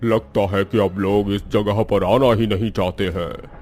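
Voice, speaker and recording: An actor playing a scheming, gloomy undead ghost husband in a Hindi horror cartoon. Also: male voice, on the low side, steady tone, measured pace, darkish tone.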